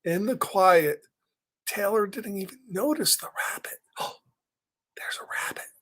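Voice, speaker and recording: A man hosting a story time, reading a picture book aloud gently with chatty asides and questions, sometimes dropping almost to a whisper. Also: sits high, expressive, a little slow. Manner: gentle, conversational, often asks questions and drops into whispers. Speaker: story time reader and host.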